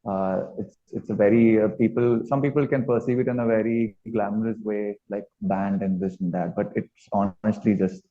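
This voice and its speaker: A man's voice: on the low side, fairly even intonation, moderate pace, few pauses. A man with an Indian accent, a musician giving a casual, reflective interview. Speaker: band musician being interviewed. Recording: dull lo-fi sound.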